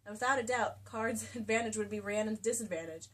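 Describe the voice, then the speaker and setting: A woman's voice: medium pitch, fairly quick, a bit bright. A woman giving a playful, theatrical comedic reading of a novel, recorded at a distance from the mic. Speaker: reader in a comedy video. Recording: distant mic in a home video.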